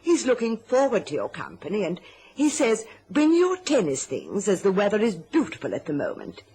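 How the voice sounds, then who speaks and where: A woman's voice: medium pitch, huge pitch swings, moderate pace, warm tone, slight background noise. A middle-aged woman playing a covetous, domineering mother who slips between imperious orders and silky endearments in a radio drama. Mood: gushing, silky. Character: domineering, scheming, covetous mother with a silky-sweet false warmth.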